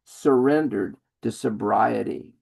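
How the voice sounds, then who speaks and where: Slow gravelly-voiced man reading a poem. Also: lowish voice, darkish tone. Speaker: poet reading at open mic. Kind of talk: poetry reading. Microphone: video call.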